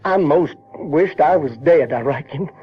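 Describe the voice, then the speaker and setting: Animated folksy Southern boy narrator, Huckleberry Finn. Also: on the high side, husky. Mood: troubled, guilty. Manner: halting, repeats words, rustic grammar. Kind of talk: folksy first-person audio drama narration. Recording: dull vintage radio-drama recording, music behind.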